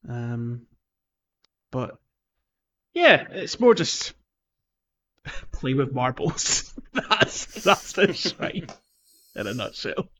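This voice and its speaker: A man's voice: medium pitch, sing-song, very slow, pauses often, fairly bright tone. A man co-hosting a casual gaming podcast, chatting in a jokey, teasing way. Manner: jokey, teasing banter with co-hosts. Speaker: podcast host.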